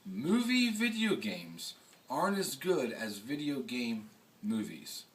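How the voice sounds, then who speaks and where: A man's voice: medium pitch, very animated, slow pace. A man hosting a casual video blog, chatting and commenting in an emphatic way with rhetorical questions. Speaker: host. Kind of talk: casual vlog commentary and chat. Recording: distant mic, faint background noise.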